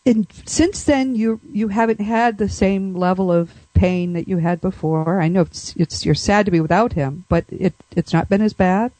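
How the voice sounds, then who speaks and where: A woman's voice: medium pitch, moderate pace, hardly any pauses, mellow, dry recording, low-quality recording. A woman hosting an interview, asking questions in an enthusiastic, encouraging way. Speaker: interviewer host. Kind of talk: conversational interview.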